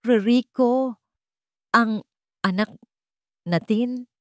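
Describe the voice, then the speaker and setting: A woman's voice: on the high side, drawn-out words, clean tone, no room sound, clean studio sound, voice pushed up. A woman voicing an innocent, frightened young wife in a dramatic story, with a pleading manner. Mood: desperate. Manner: trembling, pleading.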